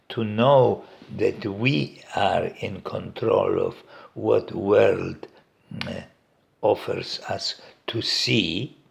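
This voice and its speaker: Slow, gravelly Polish-accented man. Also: fairly low pitch. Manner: slow, halting, pauses and restarts, chuckles often. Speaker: meditation teacher. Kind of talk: informal reflective talk. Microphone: recording device set up by himself.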